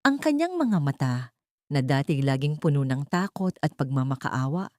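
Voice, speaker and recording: Low-voiced woman narrating drama. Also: sing-song, moderate pace, dark tone. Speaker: audiobook narrator. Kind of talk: dramatic scripted story narration.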